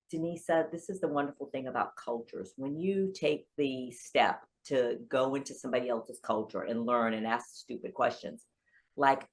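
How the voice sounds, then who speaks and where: Lively woman podcast guest, low voice, storyteller who bursts higher when excited, from rural South Carolina. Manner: animated storyteller, pitch jumps high when excited. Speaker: family law attorney, guest. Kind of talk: storytelling in casual interview.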